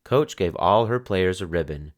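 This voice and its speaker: Man, narrator, children's book read-aloud, very low voice, slow, lively intonation. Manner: slow, expressive storytelling.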